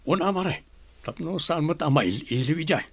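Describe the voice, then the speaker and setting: A man playing a friendly middle-aged village family friend in a radio drama, conversational and husky. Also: on the high side, lively intonation, normal speed, dry recording, full character voice.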